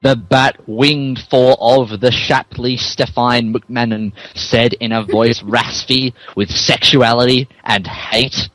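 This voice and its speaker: A man's voice: medium pitch, fairly even intonation, slow, hardly any pauses, a bit bright, no echo, poor audio. A man narrating a comic dramatic reading of fan fiction, mock-serious and amused. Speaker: narrator, podcast host.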